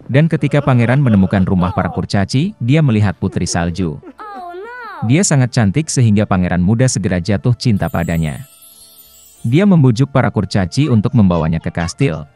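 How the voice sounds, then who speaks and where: Low mellow male fairy-tale narrator. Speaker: storyteller for a children's channel. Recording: music behind the voice. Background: background music.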